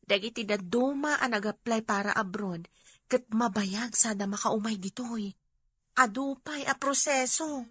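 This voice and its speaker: A woman's voice: a little high, a little slow, fairly bright tone, husky. A woman playing a worried Filipino mother working abroad in a drama. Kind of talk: comedy-drama acting.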